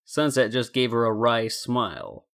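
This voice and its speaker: A man's voice: mid-range voice, measured pace, a bit bright. A man narrating fan fiction as a scripted story.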